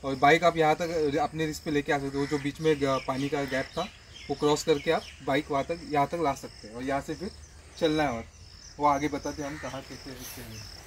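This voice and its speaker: A man's voice: on the high side, fairly even intonation, brisk, slight background noise. A man vlogging outdoors, explaining directions step by step as he walks a rural trail. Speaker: travel vlogger. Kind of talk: casual guide to a trail.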